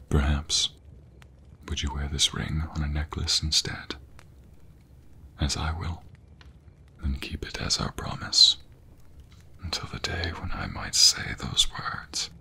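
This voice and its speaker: Man acting very deep, whispering, lovestruck king. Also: expressive, sharp tone, exaggerated voice. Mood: tender, loving. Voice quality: breathy, gravelly, very deep murmur. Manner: slow, formal, archaic courtly phrasing, tender. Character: devoted young king secretly in love with a commoner. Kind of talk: romantic ASMR roleplay, intimate whispering.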